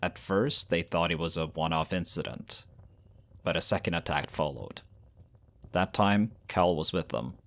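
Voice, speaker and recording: A man acting as a caring, weary young pack leader in an audio roleplay, speaking in a measured, earnest and reassuring way. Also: low voice. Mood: grave, tired.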